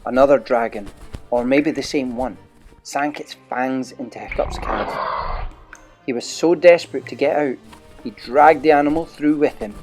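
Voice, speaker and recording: A man's voice: mid-range voice, darkish tone. A man narrating an adventure storybook dramatically as part of an audio play.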